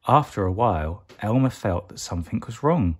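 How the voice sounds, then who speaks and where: A man reading a children's picture book aloud as a bedtime story, expressively and deliberately, stretching key words for emphasis. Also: fairly low pitch, expressive, breathy edge.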